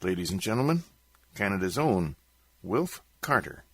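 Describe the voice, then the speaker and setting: A man's voice: low voice, big pitch swings, normal speed, slightly rough, no room sound. A man giving a theatrical, emphatic dramatic recitation of comic narrative verse. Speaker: host and reciter.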